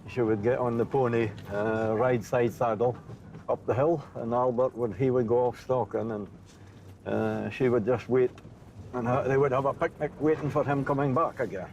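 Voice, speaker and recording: Highland Scottish male gillie, mid-pitched, understated and hesitant, slightly dull recording. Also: steady tone, normal speed, a little mellow, a little hiss or hum. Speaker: local gillie, interviewee. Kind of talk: informal interview recollection.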